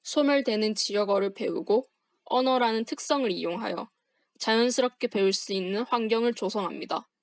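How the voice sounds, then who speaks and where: A woman's voice: mid-range voice, brisk, dry recording. Young woman reading a formal project presentation. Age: young adult. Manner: formal, steady, reading from a script. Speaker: university student presenter. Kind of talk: read-aloud project presentation.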